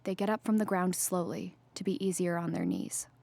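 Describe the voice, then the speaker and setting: A woman reading scripted stage directions in a measured, neutral, descriptive manner. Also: on the low side, big pitch swings, vocal fry, darkish tone, dry recording. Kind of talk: scripted narration, present-tense stage directions.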